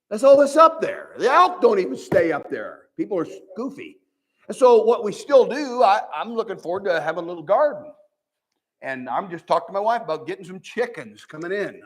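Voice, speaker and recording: An older man from the American Midwest with a nasal voice, preaching a sermon as pastor in a folksy, animated way with many restarts. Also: high voice. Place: church.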